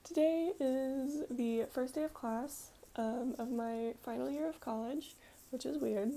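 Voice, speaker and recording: A woman's voice: high-pitched, unhurried, dark tone. A woman in her early twenties vlogging in a casual, chatty way, rambling informally with conversational asides. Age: early 20s.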